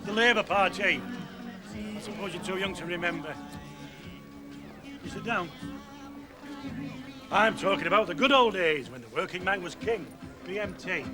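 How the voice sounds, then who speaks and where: An actor playing an older pub regular who rambles and grumbles about the past. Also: male voice, sits high, moderate pace, long pauses, lightly acted, rough recording. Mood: grumbling. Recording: music behind.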